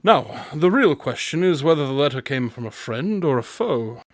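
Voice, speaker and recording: Male actor as cool-headed consulting detective, radio drama: higher register, very dry sound, precise and analytical, quietly sure of himself. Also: expressive, no room sound, natural voice. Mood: analytical, assured. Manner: measured, analytical, assured. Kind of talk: audio drama acting.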